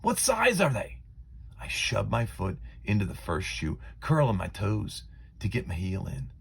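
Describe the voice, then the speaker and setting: Casting: male children's story narrator, low gravelly voice, dramatic and deliberate phrasing. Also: a little hiss or hum. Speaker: storybook reader.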